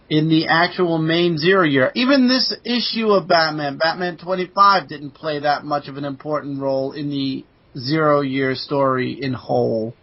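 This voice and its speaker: A man co-hosting a podcast, casually chatting and recapping comic books in a dull, lo-fi recording. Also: on the high side.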